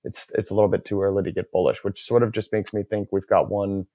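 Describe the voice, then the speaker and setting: A man hosting a podcast, talking through market ideas in a measured, hedging way. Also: lowish voice, little pitch movement, dark tone, dry recording, studio quality. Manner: measured, hedging, thinks aloud. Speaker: podcast host. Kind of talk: casual market chat.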